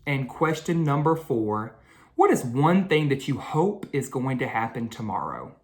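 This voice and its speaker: A woman's voice: a bass voice, big pitch swings, a little slow, a little mellow. A woman hosting a children's read-aloud, talking directly to young listeners and asking them questions.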